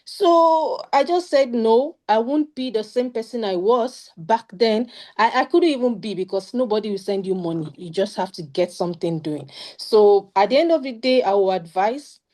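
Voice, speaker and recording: A woman's voice: on the high side, lively intonation, moderate pace, few pauses, a little mellow. A young woman with a Nigerian accent sharing her study-abroad experience in an earnest, emphatic way on a video call. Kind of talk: casual panel talk.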